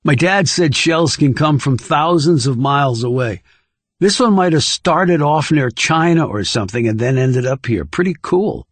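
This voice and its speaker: A man's voice: on the high side, animated, normal speed, no room sound. Guy voicing a awkward eager young boy in an audiobook, earnest questions, dry recording. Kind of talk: audiobook character dialogue.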